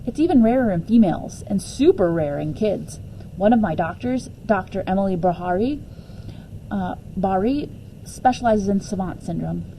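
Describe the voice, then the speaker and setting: A woman's voice: mid-range voice, lively intonation, moderate pace, mellow, a little hiss or hum, poor audio. A woman reading a children's novel aloud expressively in the first person, as a storyteller. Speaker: teacher reading a book aloud.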